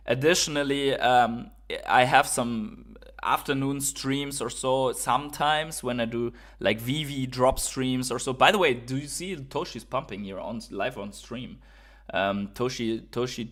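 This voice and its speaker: A man's voice: medium pitch, fairly bright tone. A man from Germany, a native German speaker with a German accent, hosting a live stream with enthusiastic, informal market commentary and chat. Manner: enthusiastic, informal, reading out and answering viewer messages.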